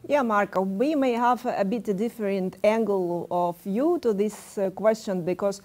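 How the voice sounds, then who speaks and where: A woman moderating a business panel, asking questions in halting speech with many fillers. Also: mid-range voice, darkish tone.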